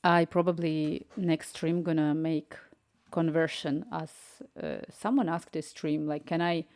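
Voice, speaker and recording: A young woman with a strong Ukrainian accent talks her viewers through an app build, thinking aloud as she works. Her voice sits a little low, and she reads chat and types prompts between remarks. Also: steady tone, a little slow, a little mellow. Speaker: live-coding streamer. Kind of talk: casual live-stream commentary while coding.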